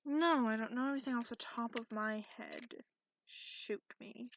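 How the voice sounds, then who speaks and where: A woman voicing a cranky, sarcastic, centuries-old dragon in a soft-spoken ASMR roleplay, haughty and grudging. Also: fairly high pitch, normal speed, slight background noise, close to an everyday voice. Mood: mocking, grudging. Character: cranky sarcastic centuries-old dragon who looks young, reading tarot. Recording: dull lo-fi microphone sound.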